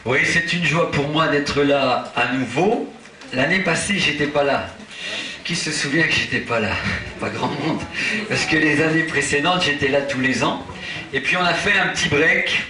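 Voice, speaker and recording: A man from Portugal, an evangelist preaching at a church conference, impassioned and rising to shouts with chuckling asides. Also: on the high side, normal speed, a crowd in the background. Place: large church hall. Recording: echoey hall, voice from far off.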